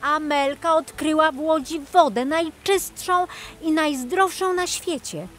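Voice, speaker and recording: A woman reading a scripted children's story as an expressive audiobook narrator. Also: high-pitched, unhurried. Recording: music behind the voice. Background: music.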